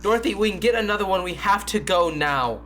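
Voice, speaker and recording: A tabletop game player improvising their character's actions in a casual, halting way. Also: mid-range voice, animated, a bit bright, faint music behind.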